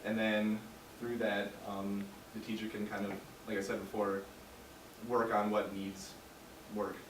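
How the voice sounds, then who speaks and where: Young male student presenter, low voice, easygoing with fillers, distant echoey room, background noise. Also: unhurried. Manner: relaxed, filler-heavy explaining. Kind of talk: class presentation. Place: large dim classroom. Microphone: far camera. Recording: echoey room from far off, noisy.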